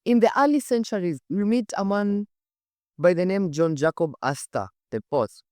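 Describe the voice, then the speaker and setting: A man's voice: high-pitched, lively intonation, moderate pace, a little mellow, dry recording, clean studio sound. A man with a Kenyan accent hosting as a storyteller, conversational and emphatic, repeating phrases and throwing out rhetorical questions. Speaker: host, storyteller. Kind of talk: animated conversational storytelling to camera. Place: studio set.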